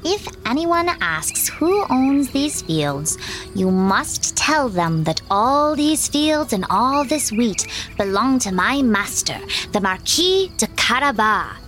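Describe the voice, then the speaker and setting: A cocky storybook tomcat, acted out by a woman, commanding and sly. The voice sits fairly high and swoops widely, with slow, savored threats and music underneath. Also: big pitch swings, fairly bright tone, heavily acted. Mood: commanding, threatening. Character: bold, boastful, wily cat in boots, male. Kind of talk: children's storybook character voice.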